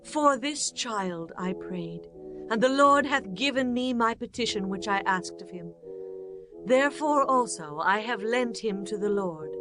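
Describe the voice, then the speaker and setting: A woman's voice: mid-range voice, lively intonation, measured pace. A woman playing a devout, earnest wife of ancient Israel in a dramatized scripture reading, speaking reverently and with measure. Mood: grateful. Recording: music bed behind the voice.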